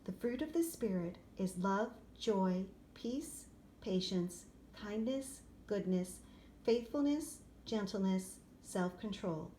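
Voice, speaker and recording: A woman reading a children's picture book aloud expressively, in short, deliberate phrases. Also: mid-range voice, expressive, warm tone. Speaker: storybook reader. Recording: clear background noise, room ambience.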